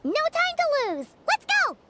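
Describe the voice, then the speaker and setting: A tiny floating companion creature chirps in a very high, sharp voice that leaps all over the scale. She stretches her words slowly and exclaims everything. Soft game music plays underneath. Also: huge pitch swings, heavily acted. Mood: eager. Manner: squeaky, bouncy, exclamatory. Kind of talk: video game voice acting.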